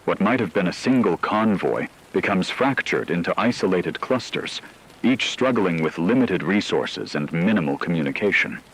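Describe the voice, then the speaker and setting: A man playing a solemn radio correspondent who reports on a global catastrophe in measured, formal, scripted narration. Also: deep voice, lively intonation, husky, poor audio. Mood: grave, urgent.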